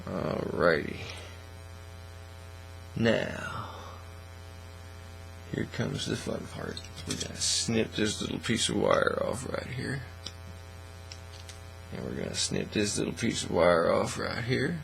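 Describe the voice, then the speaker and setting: A middle-aged man giving a casual, folksy, unhurried how-to demonstration at an indoor hobby bench. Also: on the low side, very animated, creaky, a bit bright, poor audio. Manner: folksy, unhurried step-by-step talk. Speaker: hobby craft tutorial host. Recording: faint mains hum and noise.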